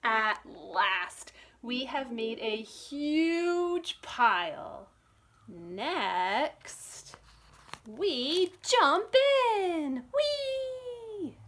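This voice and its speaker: Animated, high-voiced woman reading to children. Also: very slow. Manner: animated, expressive, asks the young listeners questions. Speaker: children's librarian reading a picture book aloud. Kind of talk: read-aloud storytime for young children.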